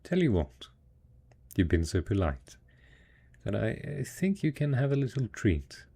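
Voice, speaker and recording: A man plays a devoted husband in an intimate post-apocalyptic audio roleplay. His voice is low, soft and husky, with a dark, relaxed tone. He speaks very slowly and a little hesitantly, patiently coaxing his listener as one would a child. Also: heavily acted. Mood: indulgent. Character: devoted, patient husband tending zombie spouse after apocalypse.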